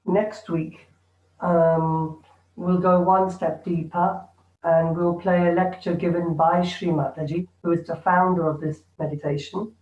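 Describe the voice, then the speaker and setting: High-voiced man leading meditation over a tinny call. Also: flat delivery, a little slow. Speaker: meditation group leader. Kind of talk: guiding an online meditation session. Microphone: video call. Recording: echoey, distant, tinny video call audio.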